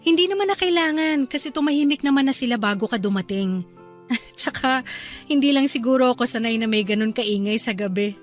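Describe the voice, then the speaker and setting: Woman acting as a sweet accommodating young wife, high voice, radio drama, soft music behind. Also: animated, brisk, lightly acted. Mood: mildly troubled, accommodating. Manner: gentle, solicitous. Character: caring, accommodating young wife, softly airing worries.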